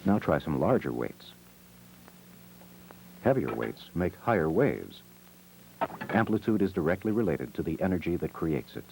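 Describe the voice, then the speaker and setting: Deep, creaky-voiced male educational narrator. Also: big pitch swings, background noise. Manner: measured, short simple explanatory sentences. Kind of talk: scripted educational film narration. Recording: old VHS educational film soundtrack. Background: music.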